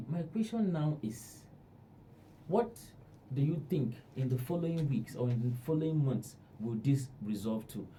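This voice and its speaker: Male radio host, Nigerian accent, medium pitch, very soft mellow timbre, agreeable conversational interviewing. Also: slight background noise. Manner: agreeable, echoes and affirms the guest.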